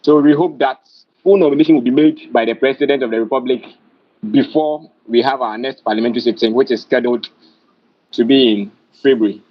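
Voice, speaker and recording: A young man with a Ghanaian accent gives a measured, deferential formal address over an online call. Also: mid-range voice, steady tone. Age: young adult. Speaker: committee member in a youth parliament. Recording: dull, lo-fi online call audio.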